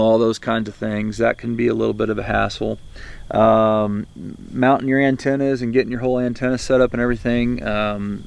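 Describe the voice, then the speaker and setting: A man's voice: lowish voice, vocal fry. A man with an American accent explaining gear casually to viewers in a rambling, hesitant, folksy way, as the host of a video. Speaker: video host, prepper reviewer. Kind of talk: casual explanatory product talk to camera.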